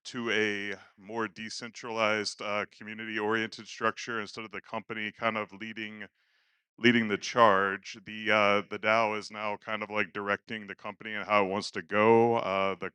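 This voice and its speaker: Low, clear-voiced man, hesitant speaker. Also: little pitch movement, moderate pace, sharp tone, no echo. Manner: hesitant, self-effacing. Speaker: first-time conference speaker. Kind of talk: informal unscripted stage talk. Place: conference stage at live event. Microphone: handheld stage microphone.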